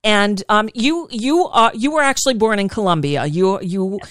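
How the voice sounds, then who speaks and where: A woman hosting a political interview, asking questions quickly with many stammering restarts. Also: medium pitch, lively intonation, a bit bright, no room sound, studio quality. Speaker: interviewer, host.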